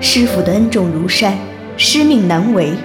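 A woman narrating a wuxia audio drama as a scripted story, solemn and measured. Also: medium pitch, animated, lightly acted. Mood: solemn, questioning. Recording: roomy reverb with music behind.

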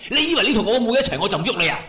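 A man's voice: high voice, very animated, rapid-fire, bright, forceful tone, heavily acted. A man playing a hot-tempered, ruthless gang boss and overbearing brother in a Cantonese radio drama. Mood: menacing. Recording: roomy echo.

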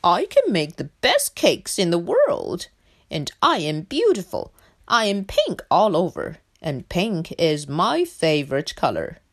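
Storybook pig, vain and easily offended, performed by a woman. Haughty, unhurried, swooping delivery. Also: high voice, wildly swooping pitch, fairly bright tone, pitched above the performer's own voice, full character voice. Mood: indignant, boastful. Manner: haughty, self-satisfied. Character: vain, indignant pink pig who loves baking.

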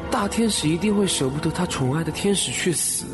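A man's voice: on the high side, expressive, a little fast, music in the background, lightly acted. A man playing a skeptical, grumbling male henchman of a death god in an audio drama. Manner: grumbling, doubtful. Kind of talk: Chinese audio drama acting.

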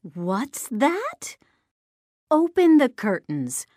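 A woman's voice: high-pitched, huge pitch swings, very slow, a little mellow, no echo, studio quality, voice pushed up, exaggerated voice. A woman voicing a grumpy, demanding bedridden mother in a storybook, querulous and hard to please. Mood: peevish. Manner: querulous, complaining, drawn out. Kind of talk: storybook character dialogue.

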